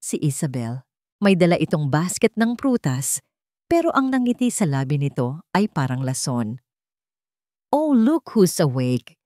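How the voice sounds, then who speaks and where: Filipino woman, dramatic story narration, low animated voice, mellow, scripted. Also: normal speed, dry recording, studio quality.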